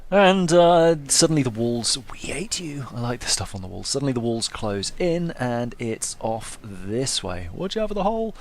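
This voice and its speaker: A man's voice: medium pitch, big pitch swings, normal speed, hardly any pauses. A man giving casual gameplay commentary as a video host, wry, understated and self-deprecating.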